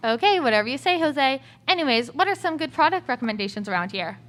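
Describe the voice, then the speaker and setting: A woman's voice: high voice, sing-song, fast, fairly bright tone. A young woman acting a fussy, opinionated student in an amateur radio play. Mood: chatty, brisk. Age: young adult.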